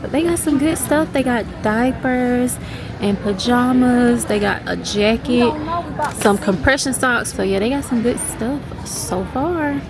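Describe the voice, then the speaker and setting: A woman's voice: a little high, unhurried. Stuffy-nosed woman vlogging to y'all. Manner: chatty, addresses viewers as y'all. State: stuffy nose from flu. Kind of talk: casual vlog narration.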